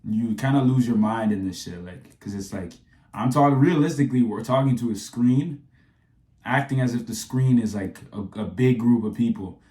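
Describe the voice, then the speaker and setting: A man's voice: medium pitch, moderate pace, dark tone, echoey room. A man hosting a reaction video, chatting in a slangy, laid-back and easygoing way. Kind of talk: casual reaction commentary.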